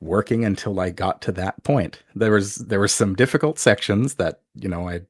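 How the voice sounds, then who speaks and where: Man, deep pitch, quiet soft-spoken voice, casual interview guest, self-deprecating, dry close sound. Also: moderate pace, breathy edge, no room sound. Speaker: interview guest, video creator.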